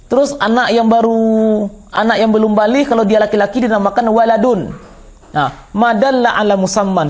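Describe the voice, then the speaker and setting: Male religious-school teacher, Minangkabau Indonesian accent, high voice, didactic question-and-answer delivery. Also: darkish tone. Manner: didactic, repeats and quizzes listeners. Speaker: Islamic teacher of Arabic grammar. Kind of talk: classroom lesson, explaining with questions to students.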